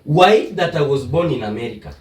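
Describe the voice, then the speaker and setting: A man's voice: on the high side, lively intonation, a little fast, recorded from a distance, faint music behind. A Kenyan man co-hosting a podcast, laughing and explaining his points in casual banter. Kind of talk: casual banter switching between Swahili and English. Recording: echoey room, away from the mic.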